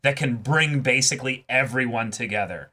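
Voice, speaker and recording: A man hosting an anime reaction show, talking casually and haltingly, repeating and rephrasing phrases for emphasis. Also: mid-range voice, sharp tone.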